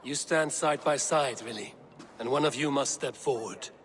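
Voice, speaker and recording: Man acting steady Viking war leader, faint ambience. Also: medium pitch, moderate pace, a little hiss or hum, close to an everyday voice. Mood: frank, encouraging. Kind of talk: dramatic video game acting.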